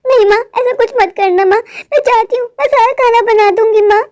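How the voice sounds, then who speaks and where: A woman voicing a plaintive, very high-pitched little girl. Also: fairly quick, darkish tone, no echo, clean studio sound. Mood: pleading. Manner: pleading, plaintive. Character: hungry, sickly, heartbroken little stepdaughter girl. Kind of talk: melodramatic Hindi cartoon moral story.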